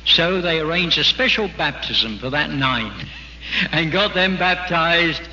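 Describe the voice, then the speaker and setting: Bright-voiced man preaching in a hall. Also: on the high side, lively intonation, takes it slowly, slight background noise. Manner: earnest, exhorting. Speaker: speaker at a religious convention. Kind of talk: sermon. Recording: dull archival recording in a big hall.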